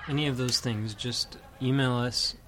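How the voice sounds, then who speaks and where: A man co-hosting a homemade radio show, chatting casually and tossing in wry, deadpan quips. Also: medium pitch, normal speed, noisy background. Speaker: co-host. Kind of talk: casual chat.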